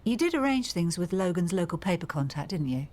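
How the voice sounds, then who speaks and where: Wry radio-soap landlady, low register, faint noise behind. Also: big pitch swings, fairly quick. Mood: teasing.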